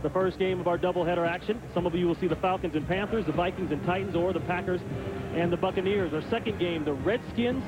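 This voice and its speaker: Male play-by-play caller, high voice, booth mic over a roaring stadium crowd. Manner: brisk, calls plays as they happen. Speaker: play-by-play announcer. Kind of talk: live football play-by-play. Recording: broadcast booth over loud crowd noise.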